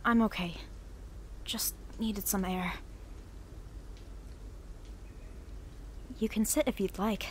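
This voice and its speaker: Lady voicing guarded young pony princess, medium pitch, measured n dignified, audio drama, slight background noise. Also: fairly even intonation, close to an everyday voice. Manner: measured, reserved. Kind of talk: fan audio drama acting.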